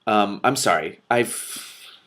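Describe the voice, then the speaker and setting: A man's voice: fairly low pitch, takes it slowly, fairly bright tone. A man reading a novel aloud and breaking off for casual commentary. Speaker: reader and commentator.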